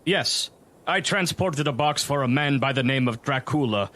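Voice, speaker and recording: An actor in an audio drama playing a matter-of-fact ship captain. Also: male voice, medium pitch, normal speed, a bit bright, lightly acted.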